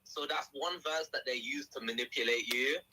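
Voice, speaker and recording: A young man from England tells his story as a guest in a casual podcast chat, animated and acting out what others said. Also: medium pitch, moderate pace, low-quality recording. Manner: animated storyteller who acts out quotes.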